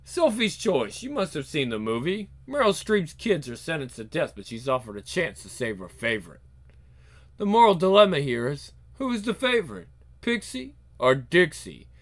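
A man's voice: a little high, sing-song, moderate pace. A man voicing an urbane, sadistic assassin who taunts in a controlled, theatrical way. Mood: taunting. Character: sadistic, urbane male assassin, mocking, coldly amused villain.

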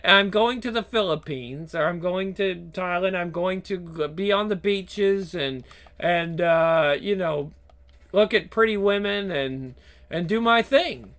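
Casting: man in his 30s, channel host, high bright voice, blunt, swears a lot, rambling chat. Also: measured pace, clean tone. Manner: blunt, profane, rambling with many restarts. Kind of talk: casual chat.

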